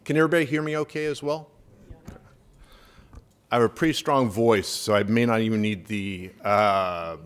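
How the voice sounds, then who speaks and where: A middle-aged man with a fairly low voice presents at a community workshop in a church hall, speaking into a microphone. He is chatty and self-deprecating, with plenty of fillers and light jokes. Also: moderate pace, long pauses, clean tone. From Northern California. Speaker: estate planning lawyer, panelist. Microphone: handheld microphone.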